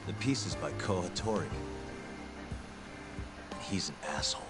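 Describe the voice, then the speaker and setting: Low-voiced, terse male detective. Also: animated, unhurried. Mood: wry. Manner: terse, wry. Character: dry, guarded, tough male detective in crime drama. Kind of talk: video game voice acting, conversational. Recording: loud music behind the voice.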